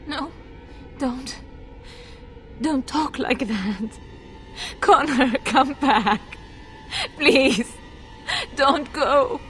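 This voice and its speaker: Grieving noble mom in a game, high voice, slow n halting, pleading, music behind. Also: female voice, a bit bright, full character voice. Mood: tearful pleading. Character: desperate, grieving noble mother pleading for her son. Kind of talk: dramatic video game voice acting.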